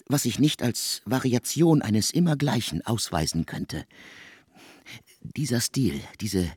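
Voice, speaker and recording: An actor playing an intense, exacting middle-aged composer in a literary radio play, speaking emphatically and precisely. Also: male voice, medium pitch, darkish tone, husky, dry recording, clean studio sound.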